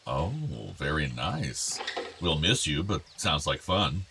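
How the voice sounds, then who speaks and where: Deep-voiced, doting older father. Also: expressive, takes it slowly, noisy background, full character voice. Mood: pleased, warm. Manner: gentle, affectionate. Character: doting, regretful older father of two grown sons. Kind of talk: naturalistic radio drama acting.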